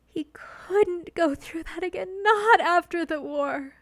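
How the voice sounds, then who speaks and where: A woman narrating a scripted romantic story in an expressive, theatrical storytelling manner. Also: extremely high pitch, slow pace, no echo. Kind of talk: scripted dramatic fan fiction narration.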